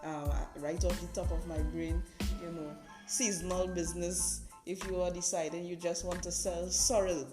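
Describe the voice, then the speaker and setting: A woman's voice: on the low side, level tone, normal speed. A Trinidadian woman hosting a podcast, explaining things in an informal, conversational way with frequent checking tags like "right" and "you know". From Trinidad and Tobago. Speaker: podcast host. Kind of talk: informal instructional podcast monologue. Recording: roomy echo, music behind the voice.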